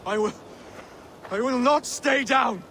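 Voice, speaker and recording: Male underdog Saxon noble for a game, high voice, earnest and dogged, noisy ambience. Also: slow pace, gravelly, bright, forceful tone, exaggerated voice. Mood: strained, defiant. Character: earnest, stubborn underdog Saxon would-be king. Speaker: video game character. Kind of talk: dramatic video game acting.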